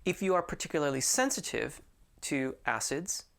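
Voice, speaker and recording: A man's voice: on the high side, animated, moderate pace, gravelly, a bit bright. A man who teaches singing, answering viewer questions in a casual, friendly way and often restarting his sentences. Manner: chatty and informal, with frequent restarts.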